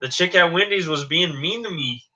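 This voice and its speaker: A man's voice: on the high side, moderate pace, sharp tone, lo-fi sound. A man guest-hosting a casual podcast, earnest and insistent, repeating his points. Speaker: guest host.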